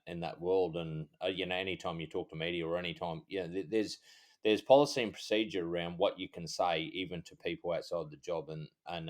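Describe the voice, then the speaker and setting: Deep-voiced easygoing Australian man. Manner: rambling, halting, easygoing. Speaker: podcast co-host, former police officer. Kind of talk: casual chat.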